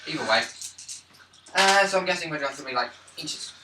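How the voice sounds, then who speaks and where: A man's voice: fairly high pitch, lively intonation, brisk. A man chatting casually and jokingly as a player at a tabletop game. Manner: joking, blunt. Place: indoors at a gaming table. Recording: echoey room, distant mic, faint noise.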